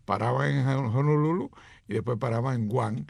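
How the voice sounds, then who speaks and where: A man's voice: mid-range voice, normal speed, vocal fry, a little mellow, no echo, clean studio sound. A man co-hosting a sports radio show, chatting casually with jokes and rambling anecdotes.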